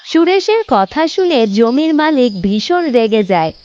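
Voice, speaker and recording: A woman's voice: sits high, sing-song, measured pace, a little mellow, dull audio, a little hiss or hum. A woman narrating a scripted children's story as the storyteller.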